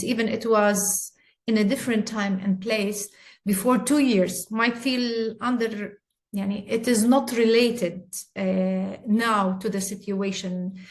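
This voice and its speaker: A middle-aged woman with a Palestinian Arabic accent, speaking haltingly with many fillers as a guest in a webinar. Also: mid-range voice. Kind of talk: webinar testimony.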